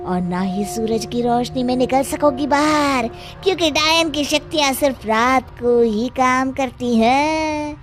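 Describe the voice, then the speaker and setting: A menacing cave witch in a cartoon, voiced by a woman, slow and taunting. Her voice sits fairly high and she stretches every word. Clear music plays under her. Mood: ominous. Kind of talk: cartoon voice acting. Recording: music bed behind voice.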